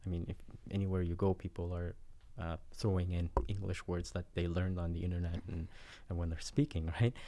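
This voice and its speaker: A man's voice: low-pitched, little pitch movement, a little fast, few pauses, dark tone, slight background noise. A man speaking as a roundtable guest, earnest and often tagging his points with "right?". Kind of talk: roundtable discussion.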